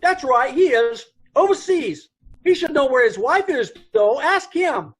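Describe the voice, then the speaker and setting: An actor playing a brusque, chatty dance hall boss with folksy, blunt speech in an old-time radio police drama. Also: male voice, extremely high pitch, animated, unhurried. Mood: offhand.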